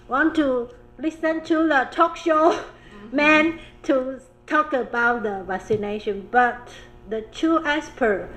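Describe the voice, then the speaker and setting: A woman public health official, speaking on a panel in an animated way, with laughing asides and phrasing. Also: high voice, poor audio. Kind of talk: roundtable panel discussion.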